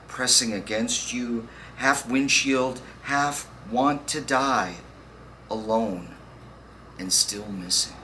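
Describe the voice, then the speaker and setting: A man reading poems aloud with deliberate, dramatic phrasing and pauses. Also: mid-range voice, sharp tone. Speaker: poetry reader. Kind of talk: spoken poetry reading. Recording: faint background noise.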